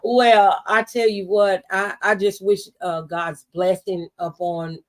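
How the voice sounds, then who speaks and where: A woman's voice: medium pitch, fairly even intonation, takes it slowly. A woman hosting a faith-based radio talk show, speaking in an encouraging, preacherly manner.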